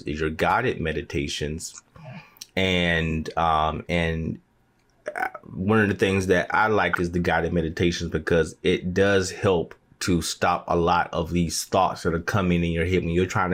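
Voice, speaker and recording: A man hosting an interview who asks long, halting questions full of ums and restarts. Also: deep voice. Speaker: interview host. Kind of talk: casual interview.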